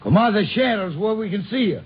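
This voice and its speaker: Hard, commanding crook in vintage radio drama; raised, very animated pitch, dull recording. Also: male voice, fast, a little hiss or hum. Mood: wary, threatening. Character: hard, bossy bank robber. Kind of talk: 1950s radio drama acting.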